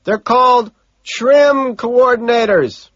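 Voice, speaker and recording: A man voicing a smug young male banker in an audiobook, shouting back loudly with drawn-out words. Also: extremely high pitch, dry recording, highs cut off, voice pushed up, exaggerated voice. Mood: shouting, offhand.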